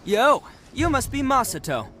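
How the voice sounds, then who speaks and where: Swaggering, animated young oni gang boss. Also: male voice, very high voice, normal speed, a little hiss or hum, exaggerated voice. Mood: casual, confident. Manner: swaggering, emphatic, slangy. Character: brash, proud, goofy young male oni gang boss. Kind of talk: video game voice acting. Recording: game music behind.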